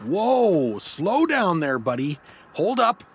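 A man's voice: high voice, very animated, takes it slowly, raised voice, heavy background noise, heavily acted. A man playing a hapless, nervous, wry everyman hero in a comedic fantasy audio drama, with an incredulous conversational manner. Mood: nervous pleading.

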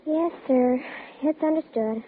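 A woman's voice: high voice, a little slow. An actress playing an earnest, insistent young girl in a 1950s radio drama, pleading with breathless urgency to be believed. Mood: impatient pleading. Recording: noisy, tinny 1950s radio recording.

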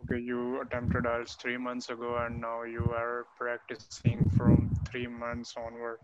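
A man from Pakistan speaks in a flat, level tone, hesitating and repeating himself. Loud noise sits under his dull, lo-fi audio. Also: mid-range voice, a little slow. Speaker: student. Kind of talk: casual conversation practice.

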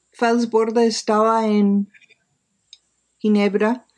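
A woman researcher answers interview questions in a measured, slow delivery. She pauses often to find the next phrase, explaining things thoughtfully and without a script. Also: fairly high pitch, flat delivery, darkish tone. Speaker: interviewed author and academic researcher.

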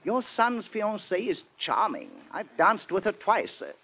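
A fussy stammering ambassador in an old radio play, raspy and highish, muffled recording. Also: male voice, big pitch swings, measured pace, heavily acted. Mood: smitten, flustered. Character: flustered, smitten, chatty ambassador at a ball. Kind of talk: old-time radio drama acting. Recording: dull, band-limited old radio recording.

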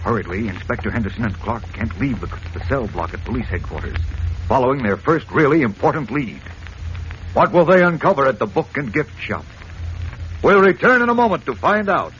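Animated male vintage radio serial narrator. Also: mid-range voice, a little fast. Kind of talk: dramatic 1940s radio narration. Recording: dull, noisy old radio recording.

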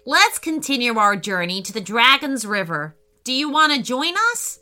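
Woman as a bossy female dragon, storybook character, loud, emphatic, animated intonation, music underneath. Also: fairly high pitch, normal speed, full character voice. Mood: determined. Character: brash, bossy female young dragon with a kind streak.

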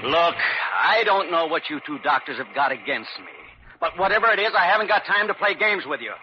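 An actor playing a gruff, stubborn, impatient construction foreman in an old-time radio drama, brusque and defensive. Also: fairly high pitch, sing-song, brisk. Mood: irritated, defensive. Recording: muffled, noisy vintage radio recording.

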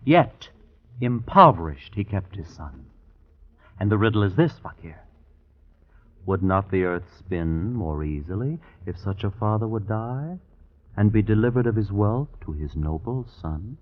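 A low-pitched, brooding young man full of discontent and schemes in an old radio drama. His speech is archaic and theatrical. The recording is thin and boxed-in, like a telephone. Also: expressive, a little slow, phone-quality sound. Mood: sly, declaiming. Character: scheming, discontented young dreamer, son of a miser.

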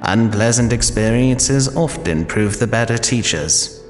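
A man's voice: mid-range voice, expressive, a little slow, close to an everyday voice. An actor playing a devoted older male butler in an audio drama, formal, courteous and drily witty. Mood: reassuring. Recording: long reverb, faint music behind.

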